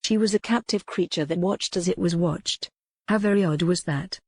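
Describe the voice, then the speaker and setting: A woman's voice: fairly low pitch, normal speed, a little mellow, no room sound. Woman narrating fiction, close and dry. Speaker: audiobook narrator. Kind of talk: scripted narration of historical romance fiction.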